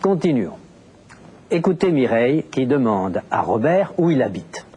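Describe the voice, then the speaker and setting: A man narrates a language lesson in a deliberate, explanatory way and enunciates every word clearly. He speaks very slowly, and his pitch moves widely up and down. Faint background noise sits under his voice. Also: very animated, warm tone, highs cut off. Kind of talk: scripted teaching narration.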